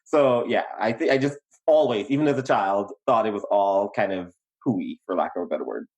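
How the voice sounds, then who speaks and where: A young adult man from Guyana with a Guyanese accent, telling his life story in casual podcast chat, animated and quick to laugh. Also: dull audio. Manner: animated, laughs easily, emphatic pitch jumps. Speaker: podcast co-host.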